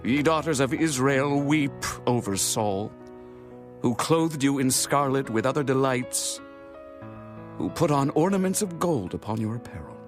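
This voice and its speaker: Male actor as a regal, earnest ancient king, mid-pitched, declamatory, scripture audio drama, faint music underneath. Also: expressive, measured pace, lightly acted. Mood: grieving. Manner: solemn, declamatory. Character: grieving, regal biblical king David, solemn and earnest.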